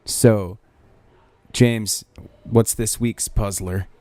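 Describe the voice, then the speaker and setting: A man co-hosting a comedy podcast in casual, crude chat, quick to laugh and swear. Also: on the low side, steady tone, mellow. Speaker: podcast co-host.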